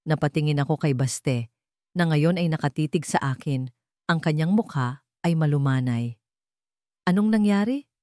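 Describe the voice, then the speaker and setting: A woman's voice: deep voice, moderate pace, dark tone, no room sound, clean studio sound. A woman with a Filipino accent reading a dramatic romance story as its narrator.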